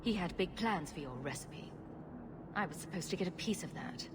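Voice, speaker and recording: Woman acting a wry, low-voiced adventurer. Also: animated, moderate pace, very noisy, natural voice. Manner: wry, assertive. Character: confident, wry young female adventurer. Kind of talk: video game acting.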